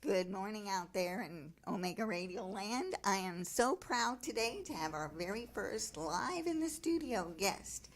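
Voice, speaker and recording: A woman's voice: medium pitch, lively intonation, unhurried, few pauses, slight background noise. A woman hosting a radio talk show, upbeat and polished as she interviews a guest.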